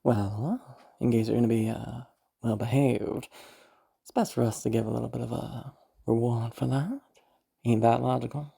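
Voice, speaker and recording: A woman voicing a rough male kidnapper in an audio drama, amused and casually menacing, with a folksy drawl. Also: extremely low pitch, fairly even intonation, moderate pace, pauses often, warm tone, full character voice. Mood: amused, coaxing. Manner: folksy, drawling, uses "ain't" and "eh".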